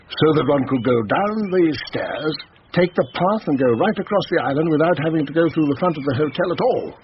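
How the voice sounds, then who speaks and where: An actor playing a brisk, matter-of-fact middle-aged police colonel in a radio drama. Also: male voice, a little high, close to an everyday voice. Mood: brisk, speculating. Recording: dull, faintly noisy radio recording.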